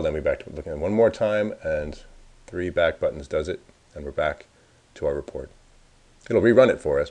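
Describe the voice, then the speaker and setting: Guy with a really low voice doing a casual screencast tutorial, kinda thinking out loud as he goes. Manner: informal, thinking aloud while working. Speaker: software presenter giving a demo.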